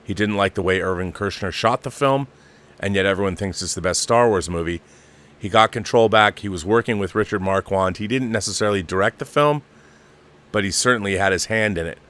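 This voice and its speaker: A middle-aged or older man with a fairly low voice hosts a casual live commentary show. He speaks emphatically and with conviction, repeating phrases for stress and sometimes restarting as he works toward his point. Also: fairly quick, a bit bright. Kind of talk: opinionated casual film commentary answering viewer messages.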